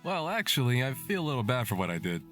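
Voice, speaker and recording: A man's voice: medium pitch, big pitch swings, fast, a bit bright, faint music behind. A man voicing a cheerful, teasing bartender in a fantasy visual novel. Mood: cheerful, apologetic.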